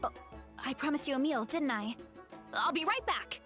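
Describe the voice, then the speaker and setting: A woman's voice: sits high, moderate pace. An actress voicing a friendly, easygoing young woman from a tribe who cooks and laughs easily. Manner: relaxed, generous, laughs easily. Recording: game audio with faint background music.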